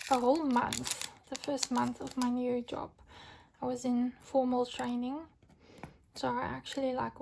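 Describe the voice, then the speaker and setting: A young adult woman from South Africa, husky as she recovers from illness, chatting casually and rambling with fillers while crafting at home. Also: sits high, darkish tone, low-quality recording. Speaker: diamond painting vlogger. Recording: home recording with craft crinkling noise. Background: crinkling packets and clicks.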